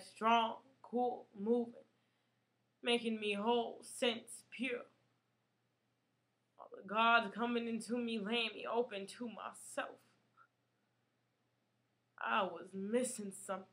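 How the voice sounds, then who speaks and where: High, bright-voiced woman performing dramatic monologue. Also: steady tone. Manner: dramatic, emotional, deliberate phrasing. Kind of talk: theatrical poetry monologue.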